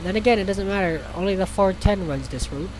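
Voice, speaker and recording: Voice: mid-range voice, a little fast, slight background noise, poor audio. A streamer reading a visual novel aloud in a casual way, stumbling over the text and dropping sarcastic, sweary asides.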